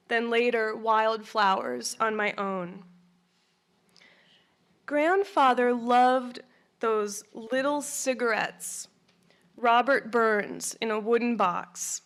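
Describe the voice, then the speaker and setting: Woman poet, slightly high voice, slow measured reading. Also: a bit bright. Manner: measured, deliberate. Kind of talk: poetry reading.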